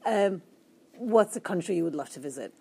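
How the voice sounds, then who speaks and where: A woman's voice: on the low side, lively intonation, normal speed, creaky, a little mellow, husky. A young woman hosting a podcast, asking loose, rambling questions and laughing often. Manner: giggly, loose, apologetic questioning. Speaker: podcast host. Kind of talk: casual interview chat.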